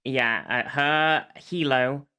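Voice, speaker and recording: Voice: mid-range voice, extremely slow, bright, forceful tone, clear, resonant tone. A podcast host recapping a show in casual conversation, feeling for words along the way.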